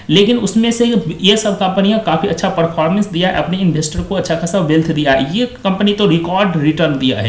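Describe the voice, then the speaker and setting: A man with a high, light voice walks through company numbers on a screen recording, chatty and repetitive in his praise. The sound bounces around an echoey room, picked up by a desk microphone. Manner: conversational, repeats stock phrases like "quite excellent". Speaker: stock market video host. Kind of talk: screen-share stock review.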